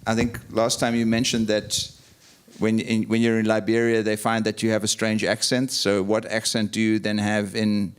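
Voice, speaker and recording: A man with a fairly low voice moderates a stage panel. He asks long, searching questions, circling back and restarting his phrasing as he goes. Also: monotone, moderate pace, clear, resonant tone. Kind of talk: interviewing on stage.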